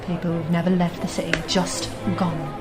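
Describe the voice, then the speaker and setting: A woman's voice: lowish voice, flat delivery, moderate pace, natural voice. A woman playing a shrewd, gossipy tavern keeper in a fantasy audio drama, talking in a colloquial, conspiratorial way. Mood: grave, confiding. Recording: music and tavern crowd behind the voice. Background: music, tavern crowd.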